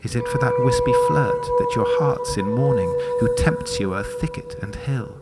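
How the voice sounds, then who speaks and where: Man as a seductive lake maiden, swooping pitch. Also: huge pitch swings, normal speed. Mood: crooning, teasing. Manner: crooning, beckoning, breathy in places.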